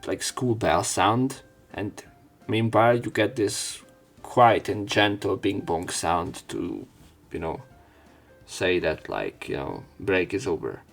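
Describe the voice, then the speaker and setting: A man's voice: sits low, animated, takes it slowly, creaky. A man hosting a let's play, reading lines aloud and commenting casually with informal, wry asides. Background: game music.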